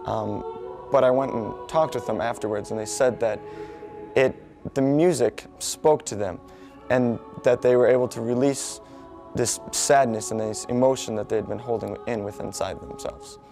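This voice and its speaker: Earnest twenty-something choir singer with a sincere delivery, choral music playing behind. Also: male voice, medium pitch, a little slow. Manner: earnest, heartfelt. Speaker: choir member and theology student. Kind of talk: interview. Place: wood-paneled office.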